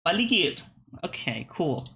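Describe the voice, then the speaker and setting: Bright-voiced man thinking aloud. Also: a little high, very animated, a little slow, vocal fry, a little hiss or hum. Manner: thinking aloud, profane, muttering and restarting. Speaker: streamer playing web games. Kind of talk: casual gameplay commentary.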